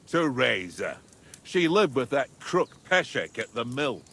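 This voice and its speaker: An actor playing a sensible medieval soldier travelling with companions in a game cutscene. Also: male voice, medium pitch, sing-song, a little slow, vocal fry, fairly bright tone, exaggerated voice. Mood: level-headed. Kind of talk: video game cutscene acting.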